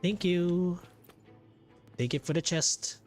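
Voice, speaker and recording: A man giving casual, jokey game playthrough commentary as a streamer, with drawn-out reactions. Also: sits high, darkish tone. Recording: game music behind the voice.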